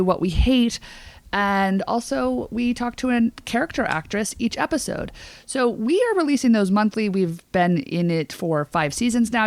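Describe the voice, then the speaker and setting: A woman's voice: medium pitch, no echo. An American woman co-hosting a podcast, talking casually in an emphatic, opinionated and slangy way. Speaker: podcast co-host. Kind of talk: casual pop-culture chat.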